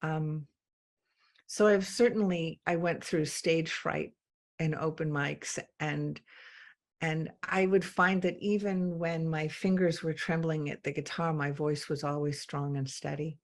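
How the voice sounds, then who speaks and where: A woman from England, a guest in a conversation, speaking reflectively with frequent pauses and ums. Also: deep voice, steady tone. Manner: slow, thoughtful, with many pauses and ums. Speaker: interview guest.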